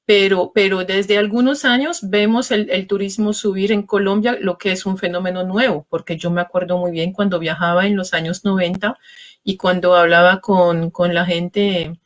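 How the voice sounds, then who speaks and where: A woman from France, a journalist being interviewed, speaking with a French accent in a measured, hesitant way as she looks for words. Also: medium pitch, fairly even intonation. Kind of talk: spoken interview answer.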